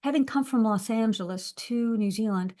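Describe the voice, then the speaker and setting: A woman's voice: fairly high pitch, normal speed, a little mellow. A woman with an American accent, a university lecturer, speaking on a webinar over a video call, with many filler words. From United States. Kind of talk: webinar talk.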